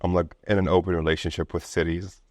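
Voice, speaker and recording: A man from Lebanon with a very deep voice talks as a guest in a relaxed interview. He rambles informally, leaning on "like" and "you know". The sound is dry, with little room in it. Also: normal speed, no room sound. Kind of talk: casual conversational interview.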